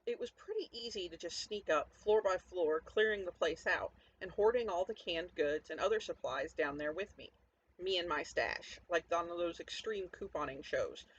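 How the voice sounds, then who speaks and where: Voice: medium pitch, fairly bright tone, close to an everyday voice. A performer narrating a first-person story as a cynical, defiant, foul-mouthed zombie apocalypse survivor, sardonic and conversational, talking straight to the listener. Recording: dull lo-fi audio, faint background noise.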